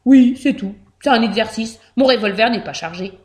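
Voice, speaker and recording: A woman's voice: on the high side, moderate pace, a little mellow, close to an everyday voice. A woman voicing a small, earnest boy hamster in a children's story who plays at being a tough bandit. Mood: matter-of-fact. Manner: earnest, hesitant, playing tough.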